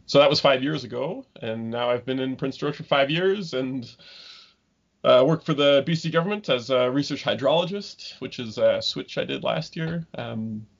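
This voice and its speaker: A man in his thirties from Ontario, a guest scientist talking casually in an interview, halting with many ums and restarts. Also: medium pitch, creaky, husky. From Ontario, Canada. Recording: dull lo-fi remote call audio.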